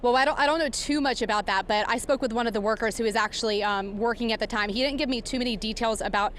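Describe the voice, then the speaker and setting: A woman field reporter speaks in a slightly high voice over noticeable background noise. She delivers a live breaking news report, explaining things as she goes, with halting, improvised phrasing. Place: outdoors outside a warehouse. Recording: clear background noise outdoors.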